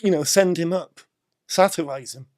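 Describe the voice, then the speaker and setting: A man's voice: fairly high pitch, moderate pace. A man with a British accent, talking through a film in a casual discussion, explaining and repeating words for emphasis. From Britain.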